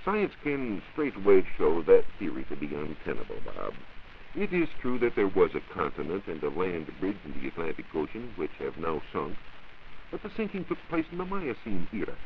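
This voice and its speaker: An American man giving a formal, measured science talk as a reporter in a scripted 1940s radio broadcast. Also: sits low, huge pitch swings, vocal fry. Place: radio studio. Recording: dull, hissy 1940s radio recording.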